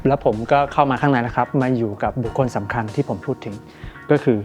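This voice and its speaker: A man working as a field host, eager and formal; his first language is Thai and he asks questions in halting English. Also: mid-range voice. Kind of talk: host interview. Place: watch boutique in a mall. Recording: music playing behind.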